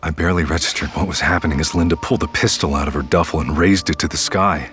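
An actor playing a wary, easygoing young man in an audio drama, hesitant and low-key, who also narrates his own eerie story. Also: fast talker, over music. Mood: tense narration. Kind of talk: audio fiction acting with first-person narration.

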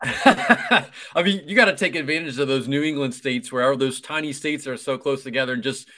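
Bright-voiced jokey man co-hosting. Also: mid-range voice, moderate pace. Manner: jokey, laughs often. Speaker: podcast co-host. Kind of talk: casual chat.

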